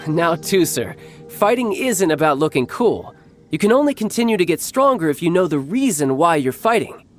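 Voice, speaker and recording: A young video game warrior with a self-assured, playful air. He is a doting older brother who talks smoothly and teases as he goes. Soft music plays behind him. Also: on the high side, sing-song, moderate pace. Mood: gentle, patient. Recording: background music under the voice.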